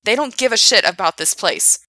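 A woman voicing an angry young male park worker who rants loudly and swears. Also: a little high, moderate pace, creaky, dry recording, exaggerated voice. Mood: furious. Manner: loud, swearing, ranting.

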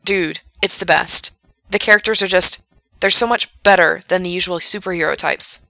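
A woman's voice: on the low side, fairly even intonation, moderate pace, creaky, bright, forceful tone, dry recording. A woman voicing an awkward, earnest young man who is a comic book geek, as dialogue in an audiobook. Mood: keen, earnest.

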